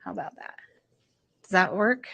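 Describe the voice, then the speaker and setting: A woman prosecutor questioning a witness in a courtroom, soft-spoken and polite. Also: fairly low pitch, animated, normal speed, creaky.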